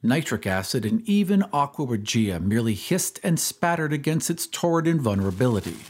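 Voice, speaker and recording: A man reads a scripted horror story as a dramatic narrator, in a deliberate, literary storytelling manner. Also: medium pitch, big pitch swings, darkish tone. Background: ambient sound effects.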